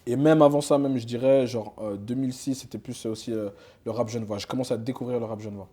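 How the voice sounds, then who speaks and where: A man's voice: medium pitch, fairly even intonation, fast talker, warm tone. A young man, a rapper talking casually in an interview, loose and slangy with lots of fillers and restarts. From Switzerland. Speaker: rapper guest.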